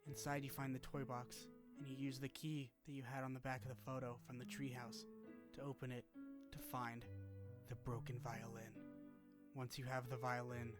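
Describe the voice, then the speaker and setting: A man delivers scripted narration in the manner of a video essay on a game's story. His voice sits at a middling pitch and stays very even, with little melodic movement. Music plays clearly behind him. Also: normal speed.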